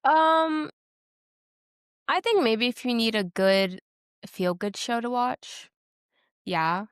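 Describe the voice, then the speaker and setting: A woman's voice: a little high, slow, clear, resonant tone, no echo, studio quality. A woman co-hosting a podcast, talking about TV in a blunt, informal way with emphatic slang. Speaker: podcast co-host. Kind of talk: casual TV-review chat.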